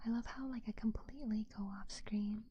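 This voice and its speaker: A woman streamer whispering soft, breathy, playful ASMR chat very close into a binaural microphone. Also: a little high, fairly even intonation, fairly quick, dull audio. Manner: playful, cutesy, giggly. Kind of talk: whispered ASMR, chatting with live stream viewers. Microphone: binaural 3Dio ASMR microphone, very close.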